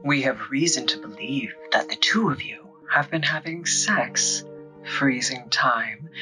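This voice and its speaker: A man playing a condescending, coolly authoritative secret enforcer in a comic book dramatization, deliberate and patronizing. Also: medium pitch, bright, forceful tone, rough recording.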